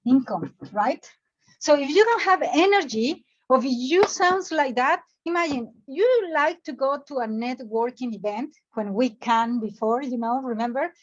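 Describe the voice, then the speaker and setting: Motivational speaker, woman, Mexican Spanish accent, high voice, lively questions to the audience, video call. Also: measured pace, highs cut off. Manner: animated, asks the audience questions. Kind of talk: energetic online workshop talk.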